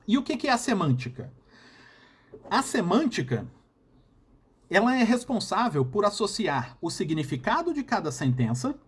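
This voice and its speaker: A man with a Brazilian Portuguese accent and a husky voice, giving a recorded university lecture and often checking in with the class. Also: on the high side. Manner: explanatory, frequent checking "tá?" and "né?".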